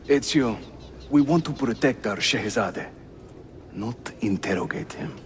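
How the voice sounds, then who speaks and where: A man's voice: medium pitch, unhurried, slight background noise, lightly acted. A man with a Turkish accent, playing a wry, spirited and loyal Ottoman assassin leader in a video game. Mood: urgent, firm. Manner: animated, wry. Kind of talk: cinematic game acting. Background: music.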